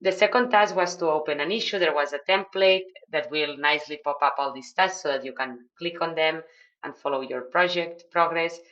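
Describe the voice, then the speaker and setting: A woman's voice: sits low, a little fast, fairly bright tone, muffled recording. A woman with a Spanish accent, a non-native English speaker, mentoring newcomers on a video call and explaining steps with many fillers and restarts. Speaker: project mentor. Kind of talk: online video call briefing.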